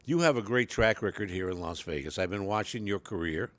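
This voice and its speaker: A man hosting an interview show, asking measured questions and paying his guest compliments. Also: deep voice, fairly bright tone. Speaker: show host.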